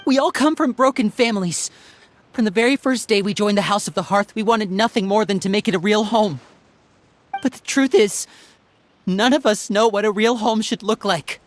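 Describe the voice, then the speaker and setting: A man's voice: high voice, normal speed, lightly acted. An actor playing an earnest young man who pleads with heartfelt conviction. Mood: pleading. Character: earnest young man, devoted adopted son.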